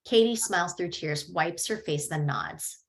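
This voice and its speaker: Lower-voiced woman reading stage directions. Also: measured pace. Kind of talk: table read narration.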